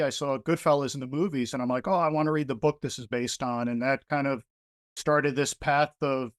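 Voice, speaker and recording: A man's voice: mid-range voice, no room sound, clean studio sound. Conversational New man as author guest. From New Jersey. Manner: relaxed, conversational, many fillers like "you know". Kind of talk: interview answers.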